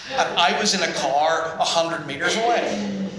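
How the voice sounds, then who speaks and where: A man's voice: high voice, a little slow, a crowd in the background. A man on a business panel, talking in a hesitant way with frequent restarts and fillers. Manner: hesitant, restarts, many ums. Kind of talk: panel discussion. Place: event room with audience. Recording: roomy hall.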